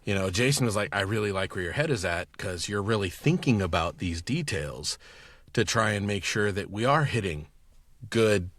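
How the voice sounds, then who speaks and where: Low-voiced man chatting enthusiastically, dry sound. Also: expressive, moderate pace, a bit bright, dry recording. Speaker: podcast guest, audio producer and director. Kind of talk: casual chat.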